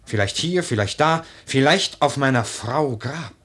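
An actor playing a brooding, eloquent, lordly widower in a literary radio play, with lyrical, deliberate, declamatory delivery. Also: male voice, medium pitch, lively intonation, fairly bright tone, breathy edge. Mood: troubled. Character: brooding, eloquent widower, poetic and lordly, drawn to a young woman.

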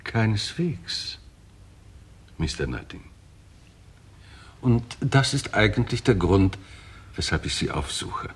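Deep-voiced, formal lawyer. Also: male voice, big pitch swings, breathy edge, full character voice. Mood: formal, measured. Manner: formal, measured, precise legal phrasing. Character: formal, discreet English family lawyer. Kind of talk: 1960s German radio crime drama acting.

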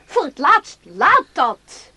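A woman's voice: high voice, sing-song, slow pace, bright, forceful tone, full character voice. A woman acting in a radio play as a blunt, bored young woman, curt and offhand with her older lover. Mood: angry. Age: young adult. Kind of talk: naturalistic radio play dialogue.

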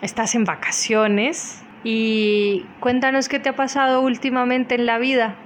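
A woman's voice: fairly high pitch, fairly even intonation, unhurried, a little hiss or hum. A woman conducting a friendly, conversational radio interview. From Colombia.

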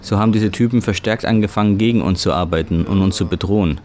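A man reading a German voice-over translation of an interview in a steady, measured way. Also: low-pitched, slight background noise.

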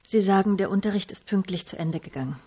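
A woman's voice: medium pitch, fast talker, mellow, breathy edge, natural voice. An actress playing an anxious, well-to-do middle-aged mother in a radio crime drama, answering in tense, terse phrases. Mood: worried.

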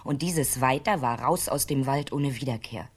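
A woman's voice: extremely low pitch, moderate pace, breathy edge. A woman narrating a fairy tale for a radio drama in a scripted storytelling style.

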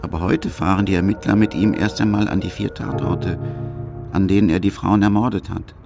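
A man narrating a scripted radio documentary in short, deliberate, dramatic storytelling phrases. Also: low-pitched, fairly even intonation, creaky. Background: music.

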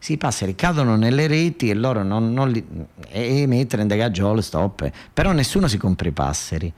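A man with a southern Italian accent performs a theatrical, colloquial storytelling monologue, emphatic and halting, often repeating his words. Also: on the low side, animated, a little mellow.

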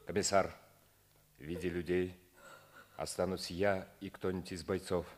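An actor playing a grave Soviet lieutenant colonel who gives measured orders in a 1950s radio play. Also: male voice, low-pitched, flat delivery. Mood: subdued, grave. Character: grave Soviet army lieutenant colonel commanding a besieged fortress. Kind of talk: 1950s Soviet radio stage drama acting.

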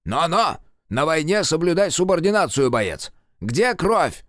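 A man's voice: fairly high pitch, big pitch swings, a little slow, a bit bright, no room sound, studio quality, pitched above the performer's own voice. A man voicing a bossy, scheming old grandfather with a crude, commanding manner in an audiobook. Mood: gruff, demanding.

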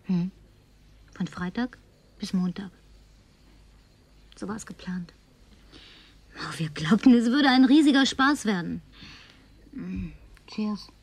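A woman's voice: medium pitch, lively intonation, slow pace, pauses often, dry recording, heavily acted. Tipsy, flirtatious lonely woman, close and dry. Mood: tipsy reminiscing. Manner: theatrical, coquettish, breaking into breathy whispers. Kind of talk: German radio drama acting.

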